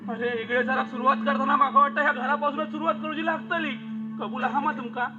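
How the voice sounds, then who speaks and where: Under loud music in a dull recording, a male actor plays a village elder with a very high voice and a Malvani accent. He pleads and admonishes in emphatic, repeated phrases, in theatrical one-act play style. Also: steady tone, quick pace. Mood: reproachful, resigned. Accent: Malvani Konkani.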